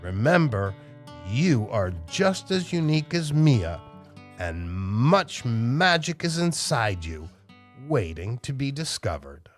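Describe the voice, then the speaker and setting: A man reading aloud as a children's storytime host, animated and emphatic as he addresses young listeners. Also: mid-range voice, slow, faint music behind. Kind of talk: expressive children's read-aloud narration.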